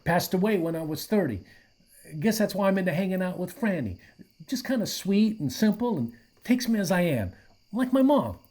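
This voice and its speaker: An actor playing a mellow, apologetic aging hippie in a radio play, with hesitant, slangy speech. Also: male voice, high voice, very animated, moderate pace. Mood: gentle, sincere. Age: middle-aged.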